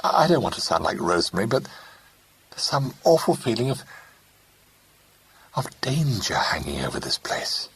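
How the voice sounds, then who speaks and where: A man's voice: mid-range voice, big pitch swings, moderate pace, pauses often, breathy edge, voice pushed up, full character voice. A man voicing an anxious young Englishwoman, pleading and urgent.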